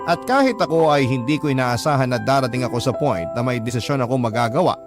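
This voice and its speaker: A man's voice: medium pitch, expressive, quick pace. A man narrating a first-person story as a radio storyteller reading a letter, in a dramatic scripted style. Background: music bed.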